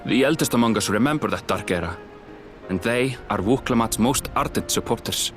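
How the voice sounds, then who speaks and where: An actor playing a composed male guide who explains rivals and history in a measured, slightly dry way in a video game cutscene. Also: mid-range voice, expressive, close to an everyday voice. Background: game score music.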